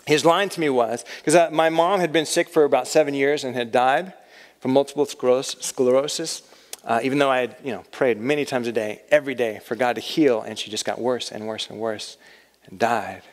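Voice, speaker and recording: A man's voice: medium pitch, steady tone, brisk. A man in his thirties giving a chapel talk to college students, conversational and self-deprecating. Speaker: chapel speaker. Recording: long reverb of a hall.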